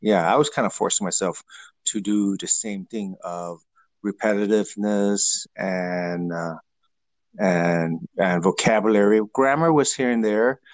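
A man whose first language is Vietnamese, answering interview questions in a halting way with many fillers and restarts. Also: on the low side, no room sound. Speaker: guest.

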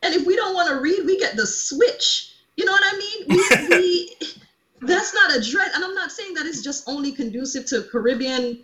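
A Jamaican woman, a guest on a casual live-stream discussion, speaking emphatically over a video call and jumping high in pitch when she gets going. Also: expressive, moderate pace, pushed and bright, lo-fi sound. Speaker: guest, fan fiction author. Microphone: video call mic.

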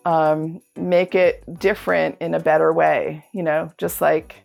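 A woman with a slightly low, very creaky voice chats as an interview guest. She gives advice informally, circling back and repeating phrases for emphasis. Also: flat delivery, measured pace, a little mellow, soft background music. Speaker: artist, podcast guest. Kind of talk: casual interview talk, giving advice.

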